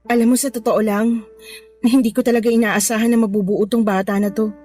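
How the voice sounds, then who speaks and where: Actress as high-voiced coaxing Filipina mistress. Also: female voice, flat delivery, fairly quick, dark tone, faint music behind, lightly acted. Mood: pleading. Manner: coaxing, sweet-talking. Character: Olivia, pregnant young mistress, coaxing, cajoling, money-minded. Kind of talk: Tagalog radio drama acting.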